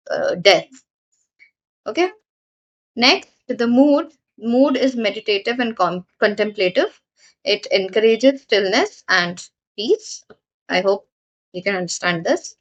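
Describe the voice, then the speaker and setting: Patient woman teacher, higher voice. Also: highs cut off. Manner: patient, repeats points, frequent "okay" fillers. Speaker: English teacher. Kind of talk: explaining a poem for exam students.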